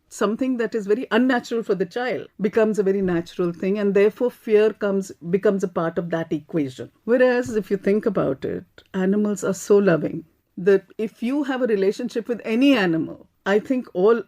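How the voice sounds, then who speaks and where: An Indian-accented woman makes her case in a panel discussion. Her voice is mellow and dark, and her delivery is emphatic, built on rhetorical questions. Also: mid-range voice. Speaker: panelist, animal rights activist.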